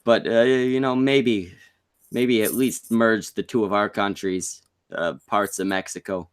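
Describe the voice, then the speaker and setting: Low-voiced Canadian man hosting a podcast, crude, jokey, squeaks when excited. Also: measured pace, clean tone. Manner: joking, crude, jumps to sudden high squeaks. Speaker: podcast host. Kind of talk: casual podcast chat.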